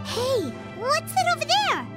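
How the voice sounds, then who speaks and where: Excitable childlike game companion, piercingly high, very animated delivery, squeaky, exclamatory. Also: female voice, unhurried, full character voice. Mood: curious, alarmed. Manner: exclamatory, speaks of herself by name. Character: tiny floating childlike girl companion, excitable and chirpy. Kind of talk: video game voice acting. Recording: background music under the voice.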